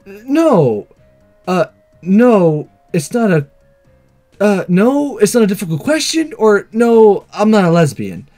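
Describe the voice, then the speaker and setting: Nervous teenage boy in girl disguise, voiced by a man, visual novel game, high, flustered, very animated, music behind. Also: takes it slowly, full character voice. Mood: flustered, panicked. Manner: nervous, stammering. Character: flustered, anxious teenage boy posing as schoolgirl.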